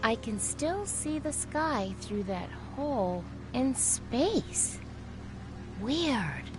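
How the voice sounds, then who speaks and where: Lively, wry young adventurer girl. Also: a little high, very slow, over music, heavily acted. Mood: musing. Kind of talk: video game character dialogue.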